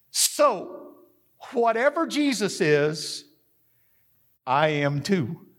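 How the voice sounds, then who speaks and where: Animated male preacher, lively pitch. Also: extremely slow. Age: 50s. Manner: animated preaching with shouts and laughs. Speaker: pastor. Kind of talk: sermon. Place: church platform.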